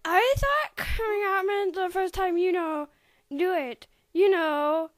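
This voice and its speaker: A woman's voice: very high-pitched, slow pace. A woman voicing earnest, hesitant members of a teen support group in an amateur dramatic reading.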